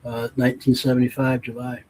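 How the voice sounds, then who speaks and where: A man with a raspy voice chatting casually as a podcast co-host, rambling and repeating himself. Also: medium pitch, completely flat delivery, brisk, warm tone, slight background noise. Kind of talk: casual chat.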